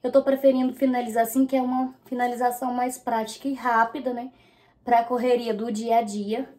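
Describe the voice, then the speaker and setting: A woman's voice: fairly high pitch, level tone, a little fast, darkish tone. A woman in her thirties from Brazil, chatting casually to viewers while she styles her hair and reviews a product in a small bedroom. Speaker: beauty vlogger.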